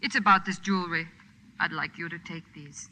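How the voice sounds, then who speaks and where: An actress playing an earnest, anxious refugee wife in a 1940s radio drama, polite and pleading. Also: female voice, on the low side, normal speed, bright, forceful tone. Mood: pleading, grateful. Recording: noisy old radio recording.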